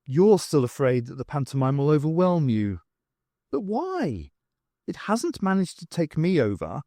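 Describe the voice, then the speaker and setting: Guy doing a clever adventurer woman, lifted lively pitch, brisk and explanatory, audiobook reading. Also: no room sound, clean studio sound, exaggerated voice. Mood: questioning. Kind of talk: audiobook character voice.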